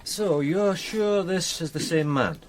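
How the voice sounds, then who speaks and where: An actor playing a courteous police detective in a radio drama, casual and gently probing. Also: male voice, fairly high pitch, slow, a little hiss or hum. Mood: curious. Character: polite, inquisitive male police detective.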